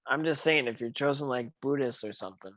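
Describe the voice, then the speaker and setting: A man's voice: medium pitch, fast, a bit bright, no echo, close to an everyday voice. A man reading the part of a villain's cool, sceptical henchperson in a table read, understated and matter-of-fact. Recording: dull, dry lo-fi call audio.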